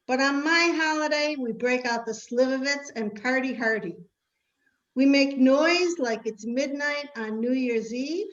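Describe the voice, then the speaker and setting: Woman acting biblical queen, high voice, boastful, playful, comic stage reading, roomy muffled call sound. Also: close to an everyday voice. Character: proud, party-loving biblical queen boasting of her holiday. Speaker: performer in a reader's theater. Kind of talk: comic scripted monologue. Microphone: video call. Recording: dull, roomy video-call audio.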